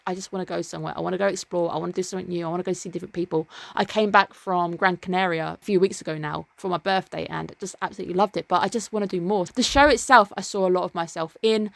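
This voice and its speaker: A woman talks through her favourite anime of the season in a loose, chatty voice. Her pitch sits in the middle and the sound is close and dry. She is enthusiastic and breaks off into quick asides. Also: gravelly, fairly bright tone, no room sound. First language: English. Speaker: anime reviewer. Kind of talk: casual video review.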